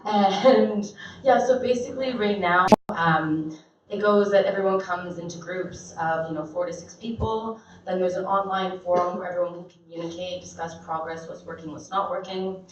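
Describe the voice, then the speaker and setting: A woman giving an informal pitch for her group, hesitant and full of fillers. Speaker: meetup organizer pitching. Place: meetup room indoors. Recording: echoey room, distant dull mic, faint hiss.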